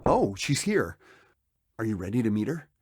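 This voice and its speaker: A man's voice: on the low side, very animated, normal speed, background noise. An actor playing an easygoing, flustered middle-aged father in an audio drama, conciliatory and a little hesitant. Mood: flustered, placating. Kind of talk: scripted audio drama acting.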